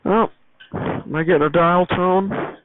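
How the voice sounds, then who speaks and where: A man's voice: high voice, fairly even intonation, slow pace. A man talking casually and off the cuff as he fiddles with gear, muttering to himself and repeating his phrases. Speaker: amateur vlogger showing home radio studio. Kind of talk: casual improvised talk while troubleshooting gear. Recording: tinny phone-like band, hissy background noise.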